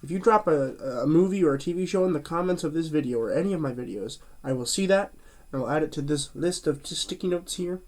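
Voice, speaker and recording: A man's voice: a little high, lively intonation, fast talker, a little mellow. A man hosting his own movie review channel, chatting casually through a cold that leaves him a bit stuffy and nasal, rambling with plenty of fillers and restarts.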